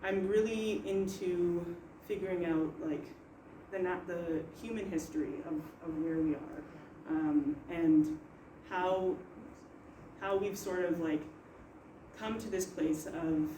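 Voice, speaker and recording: A woman's voice: lowish voice, fairly even intonation, slow pace, mellow, slight background noise. A young woman on a panel speaking earnestly and thoughtfully in a casual way. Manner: earnest, thoughtful, many fillers. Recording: echoey room, far from mic.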